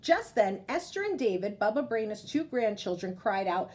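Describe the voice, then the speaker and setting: A woman's voice: mid-range voice, moderate pace. A woman storyteller reading a picture book aloud to children, expressively and speaking straight to her young listeners. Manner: animated, addresses young listeners directly.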